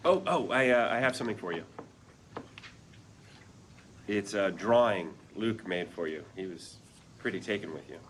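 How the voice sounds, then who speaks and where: Earnest nerdy dad, animated, noisy room. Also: male voice, fairly low pitch, moderate pace, long pauses. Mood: awkward, friendly. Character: earnest nerdy architect dad, proud and wistful. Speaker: actor at a sitcom table read. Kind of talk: comedic script read aloud before an audience.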